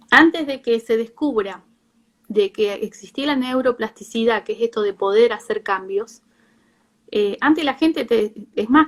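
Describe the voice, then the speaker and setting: A woman psychologist with an Argentine accent, explaining informally in a live talk with a halting, self-rephrasing delivery. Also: fairly high pitch. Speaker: psychologist, guest speaker.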